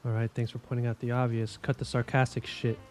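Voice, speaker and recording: A man's voice: medium pitch, flat delivery, fast, darkish tone, natural voice. A man playing a weary, skeptical police investigator in an audio drama, speaking in a dry, blunt, impatient way. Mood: impatient, pressing.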